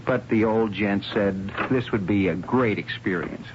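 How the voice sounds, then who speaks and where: An actor playing a cocky, breezy young graduate in a radio drama, casual and smug. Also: male voice, lowish voice, a little slow, close to an everyday voice. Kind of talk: 1970s radio drama acting. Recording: muffled, noisy 1970s radio broadcast.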